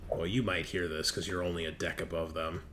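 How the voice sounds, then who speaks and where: A man's voice: sits low, level tone, fairly quick, fairly bright tone, slight background noise. A man acting as game master, speaking casually and profanely as he thinks aloud while running a tabletop game. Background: background music.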